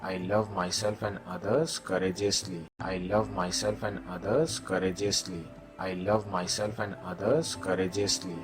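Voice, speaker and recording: A man's voice: low-pitched, level tone, normal speed, few pauses. A man leading a guided meditation, giving simple instructions and repeated affirmations as a meditation guide. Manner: instructing, repeating set phrases. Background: music.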